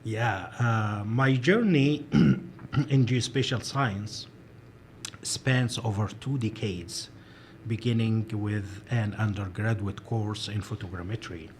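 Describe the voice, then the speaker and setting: A man in his forties, born and raised in Egypt, answering interview questions as a professor in a measured, careful way with frequent fillers. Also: lowish voice, a little hiss or hum. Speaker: professor, guest.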